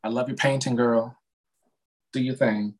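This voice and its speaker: A man's voice: medium pitch, steady tone, a little slow. Blunt, sassy man in casual gossip. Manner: blunt, sassy, profane slang. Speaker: podcast co-host. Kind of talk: casual gossipy chat about reality television.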